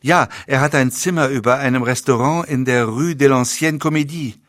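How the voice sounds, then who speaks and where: A man's voice: mid-range voice, normal speed, a bit bright, dry recording, clean studio sound, voice pushed up, close to an everyday voice. A man voicing a nervous, shocked young informer who keeps asking anxious questions.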